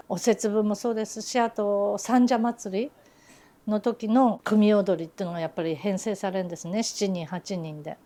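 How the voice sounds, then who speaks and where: A woman's voice: medium pitch, fairly even intonation, normal speed, warm tone. A woman who runs a teahouse and works as a geisha, telling fond stories in an interview with frequent laughter. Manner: chatty storyteller, fond, often laughing. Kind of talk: reminiscing interview chat.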